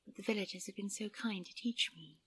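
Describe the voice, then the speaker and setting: A woman playing a gentle, refined and easily flustered fairy princess in a fantasy audio roleplay, polite and soft-spoken. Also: mid-range voice, a little fast, a little hiss or hum, lightly acted. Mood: gentle, chatty. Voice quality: breathy, soft. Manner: genteel, polite, stammering when nervous.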